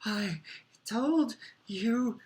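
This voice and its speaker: A man's voice: medium pitch, lively intonation, brisk, a bit bright, lightly acted. A man voicing a bossy, bad-tempered schoolgirl who scolds her friends in a snappy way. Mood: gasping.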